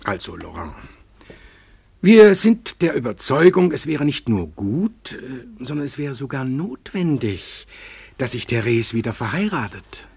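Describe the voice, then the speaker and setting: Fatherly moralizer, husky, lively intonation, tactful, hesitant, earnest, radio play. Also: mid-range voice, measured pace, no echo, full character voice. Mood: concerned, tactful. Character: tactful, fatherly family friend, gently moralizing. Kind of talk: German radio drama acting.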